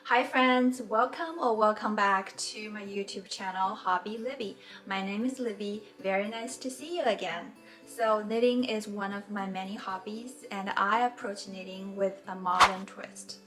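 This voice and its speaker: A woman in her forties, born in China and a native Mandarin speaker, hosting a knitting tutorial in a gentle, earnest and encouraging way. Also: mid-range voice, normal speed, few pauses, loud music behind the voice, rough recording. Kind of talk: friendly instructional talk.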